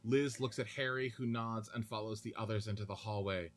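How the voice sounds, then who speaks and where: A man's voice: on the low side, steady tone, fairly quick. A man narrating stage directions in a scripted radio play reading.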